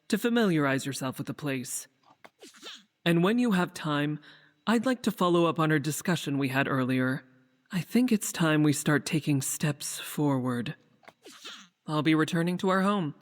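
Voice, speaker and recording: An actor playing a guarded, secretive leader in a fantasy game, calm and deliberate, with long reverb on the voice. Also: male voice, fairly high pitch, close to an everyday voice.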